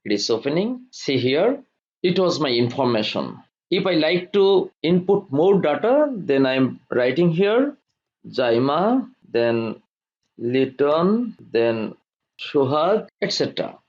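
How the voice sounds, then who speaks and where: A man narrating a step-by-step software tutorial in short, deliberate instructional phrases. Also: on the high side, sing-song. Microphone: voice-over for screen recording. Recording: dull lo-fi voice-over.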